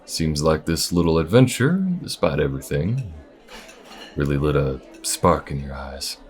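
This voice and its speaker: A man voicing an easygoing, wry alien bounty hunter with folksy, unhurried phrasing in an audio roleplay. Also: a bass voice, expressive. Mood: warm, steady. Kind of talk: audio roleplay addressed to the listener. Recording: restaurant chatter behind. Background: restaurant crowd ambience.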